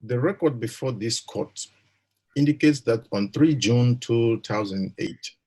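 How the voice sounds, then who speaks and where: A man judge with a gravelly, husky voice, formally and haltingly reading out a court judgment. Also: mid-range voice, mellow.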